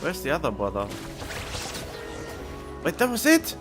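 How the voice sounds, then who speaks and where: A man's voice: a little high, wildly swooping pitch, unhurried, music in the background. A man streaming a video game, playfully reading dialogue aloud and reacting.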